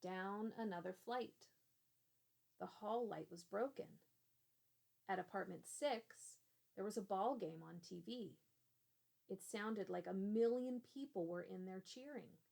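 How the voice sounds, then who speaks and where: A woman teacher reading a children's storybook aloud in a deliberate, expressive way. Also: medium pitch, vocal fry.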